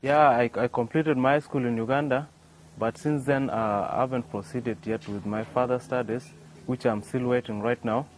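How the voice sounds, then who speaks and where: A young man answers interview questions outdoors. He restarts often and tags on "yeah" while he thinks. His voice sits at a middle pitch, with faint noise behind him. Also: fairly even intonation, normal speed, low-quality recording. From South Sudan. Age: young adult. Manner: hesitant, many "yeah" and restarts. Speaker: interview guest, young inventor. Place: outdoors by a workshop.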